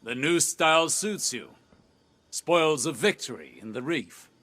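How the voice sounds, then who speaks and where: A man's voice: fairly high pitch, expressive, unhurried, pushed and bright, heavily acted. A man playing a wary, blunt veteran adviser in a video game, with a pointed, terse and cautioning delivery. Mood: cool, controlling. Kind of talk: dramatic game dialogue.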